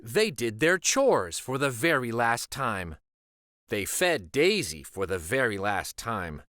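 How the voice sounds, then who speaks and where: Male read-aloud host for kids' stories: slow, deliberate pacing, very lively melody, theatrical emphasis. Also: mid-range voice, no echo, clean studio sound. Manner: animated, dramatic emphasis. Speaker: children's storybook reader and host. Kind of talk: expressive read-aloud narration of a picture book.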